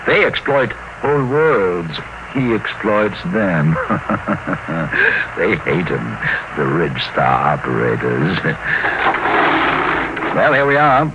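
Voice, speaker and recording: A man playing a fussy, excitable employment agent awed by his boss, effusively repeating words, in old-time radio drama. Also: low voice, slow, pauses often, dull audio, heavily acted. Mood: awed, gossipy. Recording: noisy old radio recording.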